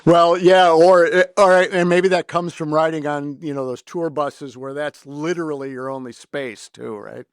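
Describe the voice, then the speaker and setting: A man's voice: fairly high pitch, fairly even intonation, normal speed, few pauses, dry recording, studio quality. An American man hosting a casual podcast interview in a chatty, informal, effusive way. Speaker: podcast host.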